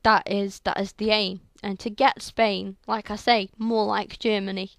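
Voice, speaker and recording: A young woman with a sore, croaky throat, chatting through video game commentary on a headset mic in a rambling way full of fillers. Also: medium pitch, little pitch movement, measured pace, no echo, studio quality. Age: young adult. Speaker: gaming channel host. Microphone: headset microphone.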